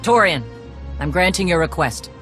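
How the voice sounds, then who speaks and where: A woman's voice: fairly low pitch, lively intonation, moderate pace, natural voice. A woman playing a blunt, battle-hardened clan leader in a video game, terse and commanding. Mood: gruff, commanding. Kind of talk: scripted video game acting. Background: background music.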